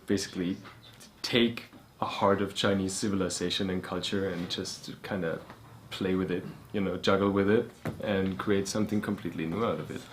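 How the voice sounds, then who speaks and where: German-accented man, fairly low voice, native German speaker, bar co-founder, casual storytelling interview, slight background noise.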